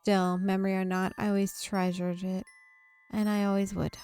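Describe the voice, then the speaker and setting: Woman streamer reading visual novel narration out loud, expressive, mid pitch, some music in the back. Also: moderate pace, clear, resonant tone. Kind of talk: expressive scripted narration, read aloud. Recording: background music under the voice. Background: game music.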